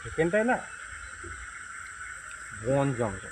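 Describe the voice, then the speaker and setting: A man playing an elder friend telling a ghost story conversationally, pausing and checking that his listeners follow. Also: mid-range voice, sing-song. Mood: reminiscing. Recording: noisy night recording with chirping insects.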